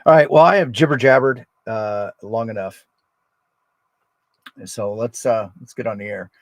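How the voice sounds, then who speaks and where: Low-voiced American man with gravelly creak. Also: normal speed, no echo. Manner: rambling, relaxed, unscripted. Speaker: amateur radio operator and livestream host. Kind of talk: casual livestream chat and radio calls. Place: outdoors at a state forest park.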